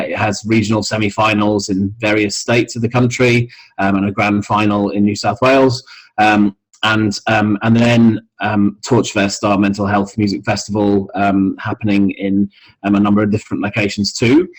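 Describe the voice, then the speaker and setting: A man's voice: fairly low pitch, poor audio. A man from Scotland with a mild, mixed international accent, a charity head talking casually in an interview over a video call, with many ums and restarts. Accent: mixed international, mild Scottish. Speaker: charity CEO, interview guest.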